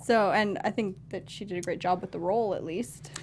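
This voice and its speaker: A woman's voice: mid-range voice, brisk. A woman co-hosting a talk show in a casual discussion of film.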